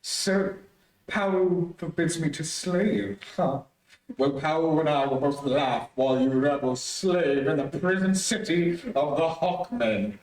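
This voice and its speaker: A man's voice: on the high side, slow pace, a little mellow. A man playing a villainous, pompous tyrant emperor in an old-time radio serial, commanding and menacing. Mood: cold menace. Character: imperious villainous male tyrant emperor of a space empire. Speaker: amateur radio play actor. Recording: echoey, distant streamed stage audio.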